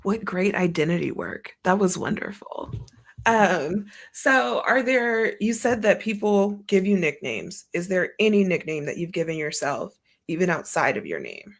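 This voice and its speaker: Low gravelly woman host. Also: sing-song, unhurried. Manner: encouraging, affirming. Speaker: podcast host. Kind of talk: interviewing.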